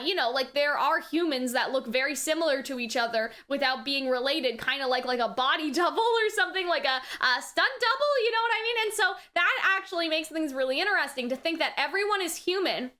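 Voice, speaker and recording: High, bright-voiced woman reviewing a show. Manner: animated, conversational, often checking in with "right?" and "you know what I mean?". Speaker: anime reaction and review host. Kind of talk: casual rambling commentary and review.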